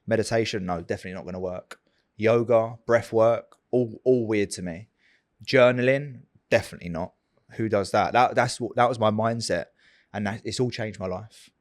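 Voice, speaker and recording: Low-voiced young man with London accent. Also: normal speed, no echo, clean studio sound. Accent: Essex/London English. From England. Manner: earnest, repeats words for emphasis. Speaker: guest. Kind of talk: casual chat.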